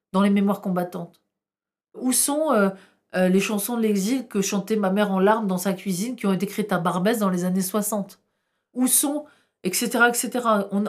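A middle-aged woman historian talks with passion in a podcast interview. She asks rhetorical questions and pauses often with hesitations. Her voice sits at an ordinary middle pitch. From France. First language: Arabic. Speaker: historian guest.

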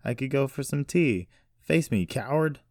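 A man's voice: mid-range voice, quick pace, darkish tone, no room sound, studio quality, pitched above the performer's own voice, lightly acted. A man voicing a defiant captive woman in an audiobook story, teasing and flippant to mask her fear. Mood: taunting.

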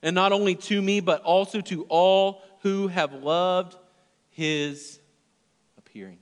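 A male pastor preaching a sermon in a church, his delivery earnest and swinging from loud emphasis to hushed, near-whispered lines. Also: high voice, fairly even intonation, slow, clear, resonant tone, long reverb tail.

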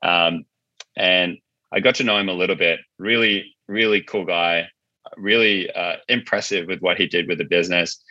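A man's voice: deep voice, fairly even intonation, moderate pace, sharp tone, dry recording, highs cut off. A man speaking as an interview guest in a casual, informal way, explaining things as he thinks aloud. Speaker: podcast guest, marketing agency founder.

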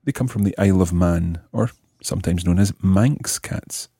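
Male teacher-host, very low and mellow, methodical explainer for a language lesson, very dry sound. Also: moderate pace, breathy edge, no echo. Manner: methodical, spells out and repeats phrases.

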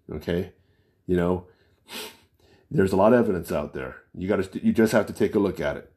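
A man's voice: on the low side, dark tone. A man from the United States speaking off the cuff in an opinion monologue, full of rhetorical questions and restarts. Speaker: political commentator.

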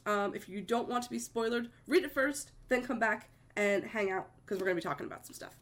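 A woman's voice: on the high side, fairly quick, fairly bright tone. A woman hosting a podcast, slightly congested from a cold, chatting with easy enthusiasm. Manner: chatty, enthusiastic, laughs easily. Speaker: podcast host. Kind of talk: casual chat.

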